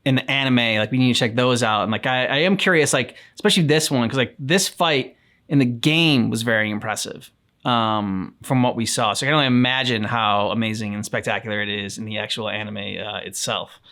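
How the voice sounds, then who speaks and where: Man, average pitch, reaction show co-host, casual chat, hesitant, rambling, searching for words. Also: brisk, bright, forceful tone.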